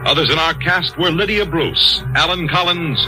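A man's voice: a little high, moderate pace, poor audio. Vintage male radio announcer over music. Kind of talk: 1950s radio credits announcement. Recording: old 1950s radio recording, music behind.

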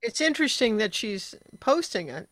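A woman's voice: a little high, big pitch swings, moderate pace, a bit bright, dry recording. Older woman, dry close sound, chatting. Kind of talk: casual chat.

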